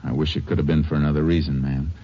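An actor playing a steady Texas Ranger in a 1950s radio drama, speaking calmly and politely with a Texan accent. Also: male voice, very deep voice, rapid-fire, husky. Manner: calm, polite, plainspoken. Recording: muffled old radio recording with faint hiss.

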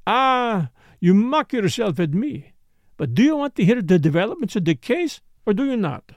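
A man's voice: sits high, sing-song, moderate pace, dry recording, highs cut off, voice pushed up. A man playing a courteous middle-aged detective with a French accent and neat, precise, formal diction in an audiobook. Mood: genial, playful.

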